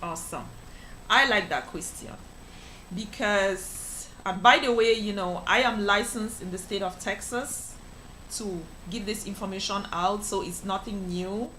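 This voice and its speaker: A woman's voice: medium pitch, measured pace, bright, forceful tone, a little hiss or hum, poor audio. Earnest woman from Cameroon explaining. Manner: earnest, explanatory, many fillers. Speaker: financial professional guest. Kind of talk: interview answers.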